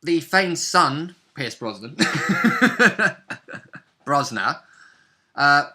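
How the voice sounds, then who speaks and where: A man chatting casually as a player, recounting a tabletop role-play session in an animated storytelling manner. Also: a little high, very slow. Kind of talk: casual chat recap of a game session.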